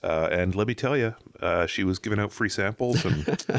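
Deep-voiced man with dry humor. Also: normal speed, no room sound. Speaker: podcast co-host. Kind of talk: casual podcast banter.

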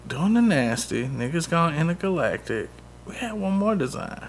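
A man steers a loose podcast conversation and jumps into his own stories. His pitch swings widely as he talks. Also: moderate pace, slight background noise. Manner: lively storyteller, steers the talk. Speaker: podcast co-host. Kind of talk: casual group chat.

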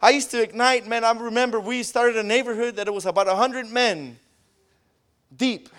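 A man with a Spanish accent preaching a sermon, tacking an amen onto nearly every phrase and rising into loud fervent bursts. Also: very high voice, normal speed. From Southern California. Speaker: pastor.

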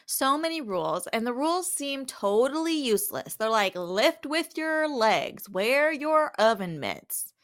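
A woman with a slightly high, very bright voice co-hosts a casual recap podcast. She is enthusiastic and slips into dramatized quotes as she chats. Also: sing-song, unhurried. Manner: enthusiastic, quoting characters in a dramatized way. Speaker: podcast co-host. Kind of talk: casual, chatty episode recap.

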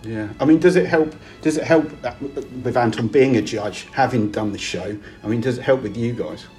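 Relaxed man interviewer, faint music. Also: medium pitch, moderate pace. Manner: relaxed, hesitant, repeats himself. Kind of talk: casual interview, reading out questions.

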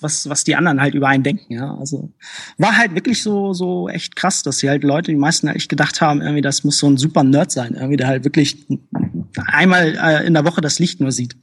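A young man from Hamburg, a guest in a casual interview, talking in a relaxed, rambling way with many fillers. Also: on the high side, husky. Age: young adult.

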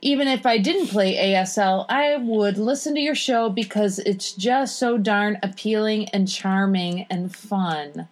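A woman's voice: medium pitch, unhurried, few pauses. A woman speaking as a podcast guest, in casual conversation and reading aloud informally.

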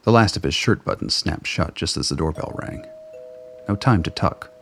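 A man narrating a scripted radio drama in a measured, wry storytelling manner. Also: sits low, lively intonation, faint music behind.

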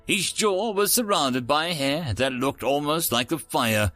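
A male narrator with a bright, forward voice and a widely swinging pitch. He reads scripted fiction in a showy, dramatic announcer manner, with faint music underneath. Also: expressive, measured pace, no room sound. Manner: theatrical, dramatic announcer delivery. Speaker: narrator and channel host.

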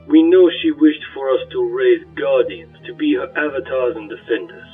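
A man's voice: medium pitch, normal speed, breathy edge. A man voicing an earnest, devout and self-important robotic ghost companion, speaking in a solemn, preachy way through a radio-like filter. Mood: reverent. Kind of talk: audio drama dialogue. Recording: muffled radio-like sound, distant, music.

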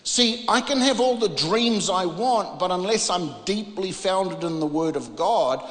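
A man from New Zealand with a New Zealand accent preaches an emphatic, informal charismatic sermon, using dramatic pauses and rhetorical questions. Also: sits high, animated, fairly bright tone. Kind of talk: charismatic sermon, part exhortation and part Bible reading. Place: large reverberant room, preaching to a congregation. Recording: echoey, reverberant large room.

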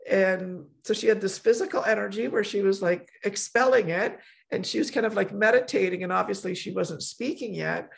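A woman's voice: medium pitch, normal speed, vocal fry, few pauses. A middle-aged woman hosting a podcast, chatting casually with many fillers and restarts. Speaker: podcast host. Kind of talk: casual conversation.

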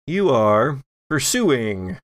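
A man narrating as game master of a tabletop role-playing game in a measured, halting, semi-improvised way. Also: medium pitch, very animated, noisy background.

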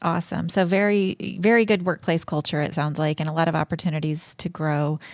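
A woman's voice: sits low, little pitch movement, a little fast, no echo, clean studio sound. A woman hosting an interview, asking open questions and answering with short, affirming replies. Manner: affirming, brief encouraging replies between questions. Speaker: podcast host and interviewer. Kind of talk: conversational interview.

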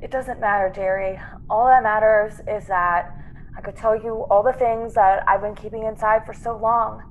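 A woman playing a brave young stowaway in a radio play, speaking tenderly and haltingly with earnest, tearful melodrama. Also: mid-range voice, steady tone, highs cut off, a little hiss or hum, close to an everyday voice. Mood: longing, urgent. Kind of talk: classic radio drama acting.

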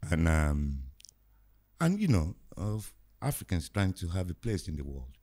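Deep-voiced older Nigerian man, unhurried. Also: big pitch swings, dark tone. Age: 60s. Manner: slow, hesitant, reflective with many fillers. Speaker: guest musician. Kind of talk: casual podcast interview.

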